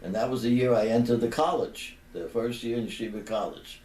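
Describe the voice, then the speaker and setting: A man over eighty, a rabbi reminiscing in a deliberate, halting way with pauses between phrases. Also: medium pitch, a little mellow. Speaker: rabbi giving a personal remembrance. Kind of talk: unhurried reminiscing tribute talk. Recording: roomy, distant microphone, background noise.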